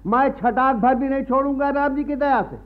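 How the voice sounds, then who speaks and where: Grasping priest-moneylender, very high voice, oily false piety, dull-sounding radio play. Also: male voice, steady tone, moderate pace. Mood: insistent, callous. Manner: sanctimonious, wheedling, repeats a pious catchphrase. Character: greedy, wily male Brahmin priest and moneylender. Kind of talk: Hindi radio play acting. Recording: muffled archival radio play recording.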